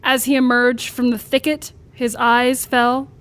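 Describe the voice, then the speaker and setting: A woman's voice: fairly high pitch, flat delivery, measured pace, a bit bright. A woman reading her own comic story aloud as a live storyteller, audibly amused as she goes. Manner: amused, breaking into laughter as she reads.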